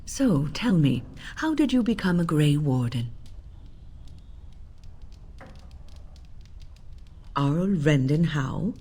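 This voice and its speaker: A woman playing a gentle, consoling, devout young companion in a fantasy video game, with a soft, breathy voice. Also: low-pitched, expressive, unhurried, exaggerated voice. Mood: curious, shocked.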